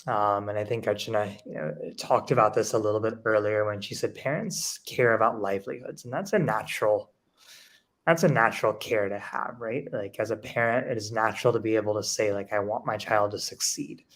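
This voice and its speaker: A man's voice: lowish voice, fairly quick, fairly bright tone. A man speaking as a panelist, making structured points and restating phrases for emphasis. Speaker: panelist, education leader.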